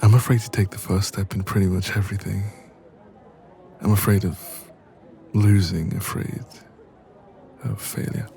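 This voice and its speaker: An anxious, self-doubting young man, played by a male voice actor in an intimate audio roleplay. His voice is very low and gravelly, with a dark, relaxed tone. He speaks softly and hesitantly, as if confiding in a close friend. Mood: downcast, vulnerable. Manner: hesitant, soft-spoken, trailing pauses.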